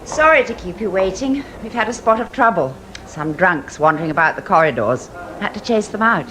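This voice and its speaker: An actress playing a brisk, practical British hospital ward sister in a radio drama. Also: female voice, mid-range voice, lively intonation, fairly bright tone, a little hiss or hum, lightly acted, rough recording. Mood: businesslike.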